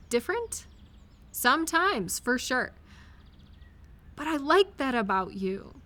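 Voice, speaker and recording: A woman playing an affectionate, reassuring friend or partner in an intimate comfort audio roleplay, speaking gently and directly to the listener. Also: a little high. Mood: affectionate, playful.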